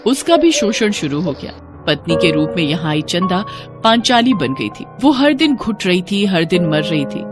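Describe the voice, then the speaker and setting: A woman narrating a scripted story as a storyteller, in a dramatic style. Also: on the low side.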